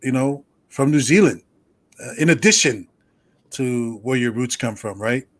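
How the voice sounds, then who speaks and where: A man of ordinary, middling pitch hosts an interview, talking in an affable, reflective way. He often closes a thought with "right?" before moving on to his next question. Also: measured pace. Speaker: interview host. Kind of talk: conversational interview.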